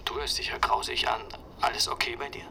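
An actor in a radio play voicing a flippant, chummy colleague chatting glibly on the phone to a friend. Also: male voice, medium pitch, fairly even intonation, fairly quick, creaky, bright, forceful tone, whispered, exaggerated voice. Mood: breezy. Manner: chummy, glib, colloquial. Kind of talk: naturalistic radio drama acting.

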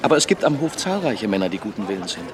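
An actor playing an urbane, ironic aristocratic judge, speaking with courtly polish in a radio drama. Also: male voice, on the low side, very animated, quick pace, a crowd in the background. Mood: ironic, musing.

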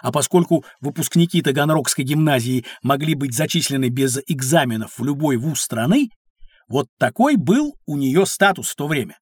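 An actor playing a kindly, knowledgeable uncle with a husky voice, narrating history for children in an educational audio drama. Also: male voice, a little high, expressive, moderate pace, no room sound, studio quality. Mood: explaining, reassuring. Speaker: storyteller guide for children.

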